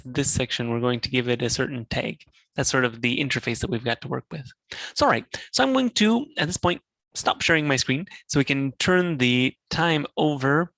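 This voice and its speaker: A man presenting a software demo, calmly walking through each click of a screen share. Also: a little high, no echo. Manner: relaxed, explanatory, walks through clicks step by step.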